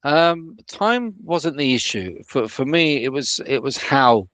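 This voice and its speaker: A man's voice: medium pitch, animated, slow, fairly bright tone, no echo. A man from the United Kingdom, a language student, talking in a casual interview, hesitant with lots of fillers and restarts. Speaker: student guest.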